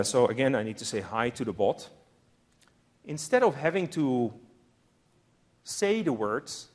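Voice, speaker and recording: Dutch man presenting a software demo. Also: medium pitch. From Netherlands. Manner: explanatory, narrating on-screen actions step by step. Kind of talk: live technical demo presentation.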